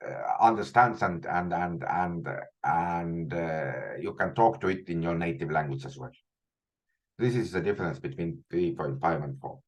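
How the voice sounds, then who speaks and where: A man's voice: low voice, a little mellow. A man in his forties with an Estonian accent, teaching a live online coding session and thinking aloud, often restarting and repeating words. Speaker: instructor. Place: small room at home, indoors.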